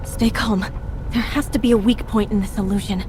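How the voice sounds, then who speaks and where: An actress playing an urgent but level-headed adventurer in a video game cutscene. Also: female voice, medium pitch, fairly even intonation, normal speed, background noise, lightly acted.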